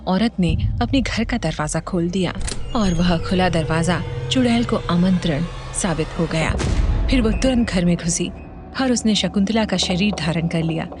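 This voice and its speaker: A woman storyteller giving a dramatic scripted narration of a horror story. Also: on the low side. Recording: music behind the voice.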